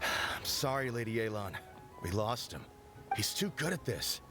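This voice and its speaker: A man's voice: on the low side, animated, a little slow, music in the background. A man voicing an apologetic, deferential subordinate agent in a video game, sounding downcast. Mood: dejected. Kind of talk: scripted video game voice acting.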